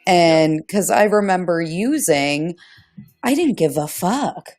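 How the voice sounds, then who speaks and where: A woman co-hosting a casual podcast, telling stories in a blunt, animated, profane way. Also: lowish voice, slow. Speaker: podcast co-host. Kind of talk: casual conversational podcast chat.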